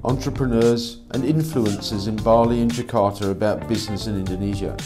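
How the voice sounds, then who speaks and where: A man's voice: lowish voice, steady tone, fairly quick, music in the background. A man hosting a podcast interview, chatting in a friendly way and encouraging his guest. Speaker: podcast host.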